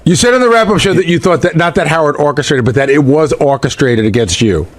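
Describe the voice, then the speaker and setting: A man's voice: a little high, lively intonation, fast talker. A man who is a radio show regular, speaking in unscripted panel talk.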